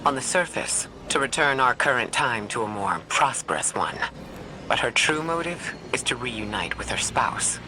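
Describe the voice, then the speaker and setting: A performer acting as an earnest sci-fi game advisor, formal and measured. The voice is mid-pitched, with clear ambient noise and rumble around it. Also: animated, lightly acted. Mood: grave. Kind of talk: video game dialogue acting.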